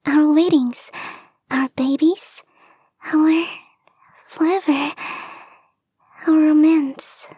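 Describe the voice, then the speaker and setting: A woman voicing a clingy, lovestruck young kitsune fox girl in a soft, breathy, often whispered ASMR romance roleplay, coy and gushing. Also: sits high, fairly even intonation, very slow, long pauses, no room sound, low-quality recording. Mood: giddy, possessive. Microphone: close microphone, dry.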